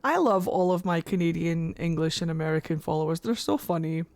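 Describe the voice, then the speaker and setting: A woman's voice: on the low side, fairly quick, darkish tone, dry recording. A young Scottish woman chatting casually and rambling while she streams a video game, laughing often. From Scotland. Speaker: livestreamer. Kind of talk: casual chat while gaming.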